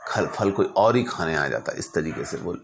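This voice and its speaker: A man narrating stories informally as an astrologer, speaking deliberately in short phrases broken by pauses. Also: deep voice, big pitch swings, background noise. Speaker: astrologer telling client stories.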